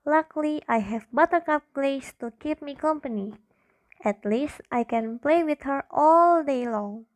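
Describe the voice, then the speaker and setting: A woman playing an excitable, imaginative little girl in an amateur radio drama, childlike and earnest. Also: high-pitched, measured pace. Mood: disappointed.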